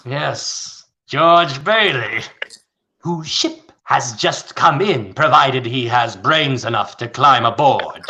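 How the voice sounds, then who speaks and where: Gravelly, scheming old tycoon. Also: male voice, medium pitch, animated, sharp tone, a little hiss or hum, exaggerated voice. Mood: coaxing. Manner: theatrical, deliberate, condescending. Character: wealthy scheming old male tycoon, hated, condescending. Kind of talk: radio play acting.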